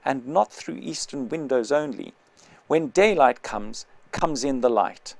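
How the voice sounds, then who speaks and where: A man's voice: medium pitch, lively intonation, a little slow, creaky, a little mellow, no room sound. A man reading an audiobook, voicing a fat, cultured man who lectures with lofty condescension.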